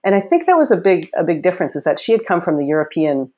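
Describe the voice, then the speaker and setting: A woman in her forties from Canada, a former Olympic fencer with a loud voice, telling stories in a casual interview with quick laughs and acted quotes. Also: fairly low pitch, sing-song, highs cut off.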